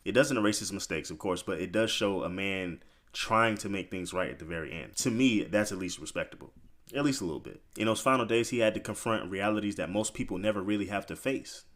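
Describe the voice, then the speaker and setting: A man's voice: on the low side, fairly quick, fairly bright tone. A man narrating a biographical story as commentary, informal and opinionated, speaking straight to the listener with conversational asides.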